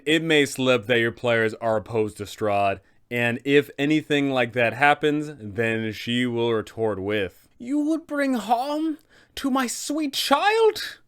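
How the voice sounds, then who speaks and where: A man's voice: mid-range voice, fairly bright tone, smooth. A man hosting a tabletop game guide, narrating in an explanatory way with dramatic emphasis. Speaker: host of a game master guide. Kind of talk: semi-scripted explanatory narration.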